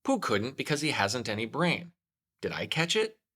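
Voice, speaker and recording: A man's voice: medium pitch, big pitch swings, moderate pace, sharp tone. A man voicing a small, trusting, innocent boy in a children's storybook. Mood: innocent, wondering. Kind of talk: children's storybook read-aloud.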